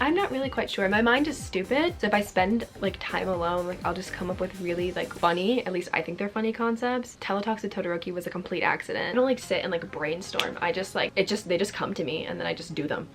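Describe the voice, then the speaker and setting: A young woman rattles through fan questions at a quick clip, her slightly low voice carrying a gravelly edge. She talks casually, full of "like" fillers and the odd curse. Faint music sits quietly under her voice. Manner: chatty, frequent "like" fillers, occasional swearing. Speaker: YouTuber answering fan questions. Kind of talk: casual Q&A vlog.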